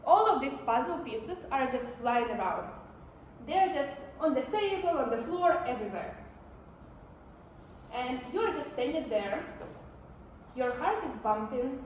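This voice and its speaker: A woman in her twenties with a Ukrainian accent, telling a personal story on stage in an animated way, acting out dialogue. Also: high-pitched. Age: 20s. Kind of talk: personal storytelling talk to an audience. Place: indoor stage before a community audience. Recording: echoey, distant, faintly noisy live room.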